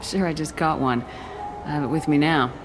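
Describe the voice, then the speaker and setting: A woman's voice: low voice, fairly even intonation, normal speed, noisy background, natural voice. A woman voicing a terse, direct starship commander in a video game. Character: steely female starship commander.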